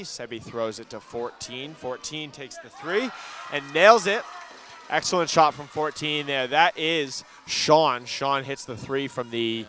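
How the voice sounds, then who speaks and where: A man calling a school basketball game live, brisk play-by-play that gets loud on big shots, with a ball bouncing in the gym behind him. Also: medium pitch. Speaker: play-by-play commentator.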